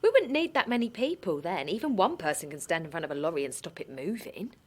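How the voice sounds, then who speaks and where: An earnest mum from a small town in a radio drama. Her pitch moves about a lot and her talk is chatty and unpolished. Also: big pitch swings, fast talker, husky. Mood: chatty, willing. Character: Linda, earnest, plain-spoken small-town English mum turned protester. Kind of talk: naturalistic audio drama, dialogue and narration.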